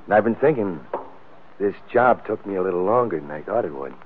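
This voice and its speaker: A man's voice: sits low, expressive, brisk. An actor playing a boastful, greedy gangster hitman in a matter-of-fact way in a 1940s radio crime drama. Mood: smug. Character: cocky male hired killer, casually greedy gangster. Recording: muffled narrow-band 1940s radio recording, light noise.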